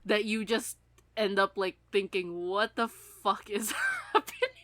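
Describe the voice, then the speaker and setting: A woman's voice: mid-range voice, wildly swooping pitch, measured pace, fairly bright tone, slight background noise. A young adult woman co-hosting a podcast in casual fan chat, rambling along in a giggly way. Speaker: podcast co-host.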